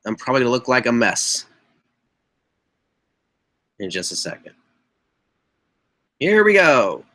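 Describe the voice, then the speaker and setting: Hoarse young man with a cold. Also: mid-range voice, huge pitch swings, a little slow, fairly bright tone. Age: young adult. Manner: rambling, frequent throat clearing and fillers. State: hoarse from a cold, stuffy nose. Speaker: live chat host. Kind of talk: casual live-stream chat. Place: small bedroom with posters on the walls. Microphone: webcam mic at desk distance.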